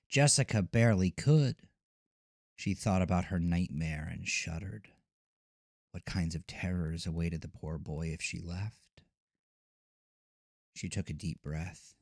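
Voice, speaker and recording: Very deep, slow-paced male horror narrator. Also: creaky, a little mellow, dry recording, studio quality. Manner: deliberate, dramatic storytelling. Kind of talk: scripted horror audio drama narration. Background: music.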